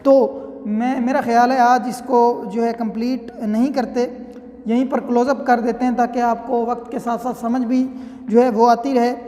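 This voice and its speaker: A man's voice: extremely high pitch, flat delivery, normal speed, dark tone. A man giving a casual, explanatory law lecture, often checking in with his listeners. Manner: conversational, keeps checking in with "theek hai". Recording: echoey room with long reverb.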